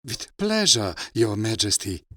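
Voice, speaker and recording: Man acting a dutiful, slow-spoken royal guard. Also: fairly low pitch, big pitch swings, noisy background. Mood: dutiful, cautious. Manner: formal, obedient, measured. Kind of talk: fantasy audio drama acting.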